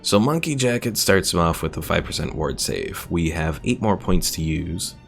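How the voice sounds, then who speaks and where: A man casually commentating his strategy game stream, explaining mechanics and answering viewers' questions in a relaxed way. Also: low voice, moderate pace, gravelly, soft background music.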